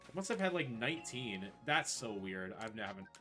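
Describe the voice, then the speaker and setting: A man's voice: fairly low pitch, sing-song, fairly quick. A man playing through a game speedrun while casually explaining his route live, with music behind him.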